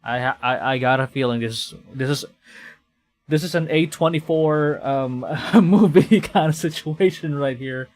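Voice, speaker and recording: Man, from the philippines, game streamer, slow-paced, slightly high voice, nervous swearing, stammering. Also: a little mellow. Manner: jittery, swearing, stammering reactions. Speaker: VTuber streamer. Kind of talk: casual game-stream commentary.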